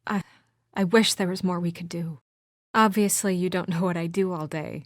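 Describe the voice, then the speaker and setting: A woman playing an earnest, weary and conscientious young administrator in a video game, speaking in a measured, serious, sometimes hesitant way. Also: on the low side, fairly even intonation, dry recording, studio quality, natural voice. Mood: hesitant, sincere. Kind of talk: naturalistic video game voice acting.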